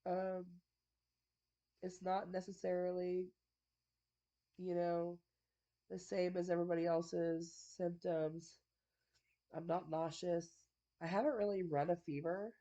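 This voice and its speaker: A woman's voice: lowish voice, level tone, slow pace, pauses often, mellow, lo-fi sound. A woman with a congested, stuffy nose chatting casually to the camera at home, rambling with lots of fillers and frequent little laughs. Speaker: vlogger. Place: at home indoors.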